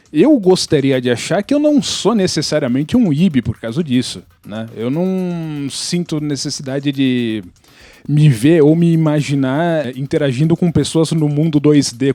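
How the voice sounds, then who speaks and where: Brazilian man with a higher, dark voice. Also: dry recording. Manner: explanatory, peppered with tag questions. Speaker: podcast panelist. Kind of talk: casual explanatory podcast discussion.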